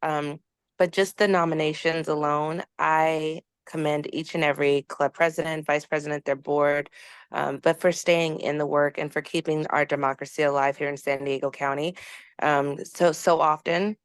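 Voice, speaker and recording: Woman on a video call, very low smooth voice, dry sound, halting delivery. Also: little pitch movement, no echo. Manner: warm, halting with many fillers. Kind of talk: speaking at an online meeting.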